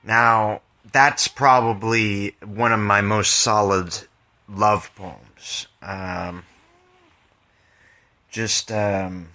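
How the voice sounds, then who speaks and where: A man hosting his own poetry podcast, reading his poems and talking about them in an informal, candid, halting way. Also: lowish voice, steady tone, pushed and bright. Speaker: podcast host and poet.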